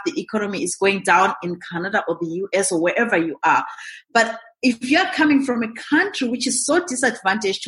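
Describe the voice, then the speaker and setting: Woman from Zimbabwe telling her story. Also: on the high side. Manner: lively storytelling with quoted inner thoughts. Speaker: guest, real estate investor. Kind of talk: interview answers.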